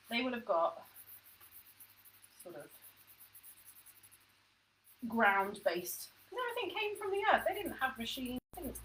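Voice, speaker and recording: A woman's voice: medium pitch, pushed and bright, a little hiss or hum, rough recording. A woman teaching a home-education history lesson to camera, chatty and self-deprecating, thinking aloud as she goes.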